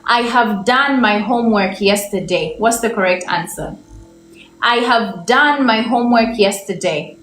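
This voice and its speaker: A woman's voice: fairly high pitch, fairly bright tone. A young woman from Uganda teaching English on a livestream. She stretches out her example sentences and keeps asking viewers for the correct answer. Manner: slow, drawn-out example sentences, repeated questions to viewers. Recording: echoey room, faint background noise.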